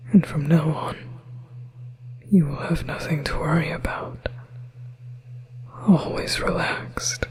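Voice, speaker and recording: Woman as a slow-whispering, possessive demoness. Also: deep voice, low-quality recording. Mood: possessive reassurance. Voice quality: breathy, unvoiced whisper. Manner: slow, hushed, coaxing, hypnotic. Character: possessive, soothing female demon coaxing a victim. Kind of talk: whispered ASMR hypnosis roleplay.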